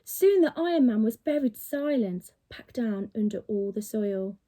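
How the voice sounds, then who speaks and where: A woman's voice: fairly high pitch, expressive, a little slow, warm tone. A woman reading a children's story expressively, with dramatic, emphatic delivery, like a teacher reading to pupils.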